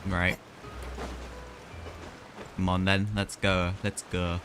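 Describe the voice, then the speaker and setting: A man's voice: deep voice, completely flat delivery, very slow. A young man livestreaming a game with casual, chatty, offhand joking commentary. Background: game music and dialogue.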